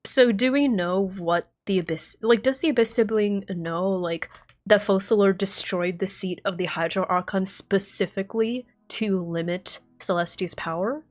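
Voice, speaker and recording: A young woman streamer reacting live to a game, thinking aloud, excitable, swearing and laughing. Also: medium pitch, moderate pace, faint music behind.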